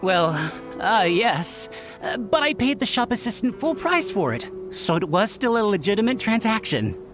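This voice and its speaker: A man's voice: sits high, sing-song, moderate pace. An actor playing a defensive, grudging townsman in a video game. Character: cagey, defensive townsman, grudging and self-justifying. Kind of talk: English video game voice acting. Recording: faint game music behind the voice. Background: faint video game music.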